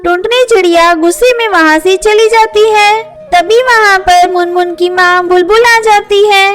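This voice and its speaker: A woman's voice: very high voice, a little slow. A woman narrating a scripted children's story. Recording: faint music under voice. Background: faint music.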